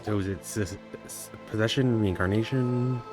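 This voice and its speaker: A man giving casual gameplay commentary, musing aloud in a halting way as he plays. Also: on the low side. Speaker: streamer commenting on a game. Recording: game music behind the voice.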